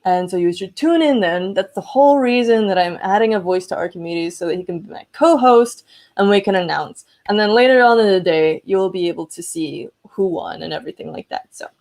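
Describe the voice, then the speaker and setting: A woman's voice: mid-range voice, brisk, a little mellow. A woman hosting a live electronics show, chatting casually and enthusiastically in an informal, rambling way as she demonstrates her build. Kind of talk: casual livestream chat with demonstration.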